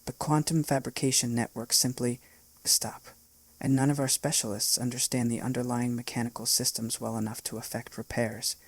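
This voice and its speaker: Man reading as a worried crystalline alien envoy, husky and flat, dry close sound. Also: mid-range voice, normal speed, no room sound, lightly acted. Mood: earnest. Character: tall melodic crystalline alien ambassador, worried.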